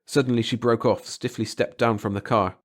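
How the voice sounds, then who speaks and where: A man's voice: mid-range voice, fairly even intonation, a little fast. A male audiobook narrator with a mid-range voice. He delivers scripted fiction in a dramatic storytelling style.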